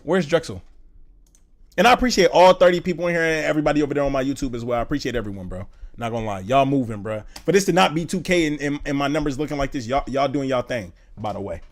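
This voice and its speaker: A young man in his twenties from Indiana, chatting casually on a live stream, loose and slangy, reading chat aloud and thinking out loud. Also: mid-range voice, fast talker. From Indiana, USA. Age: 20s. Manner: slangy, loose, says "bro" a lot.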